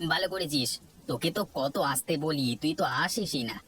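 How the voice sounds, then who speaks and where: A man's voice: a little high, moderate pace, a bit bright, slight background noise, close to an everyday voice. A man with a Bangladeshi accent playing a hospitable, reassuring bachelor friend in a cartoon, insistent and familiar in manner. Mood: welcoming. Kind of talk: Bengali cartoon story dialogue. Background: background music.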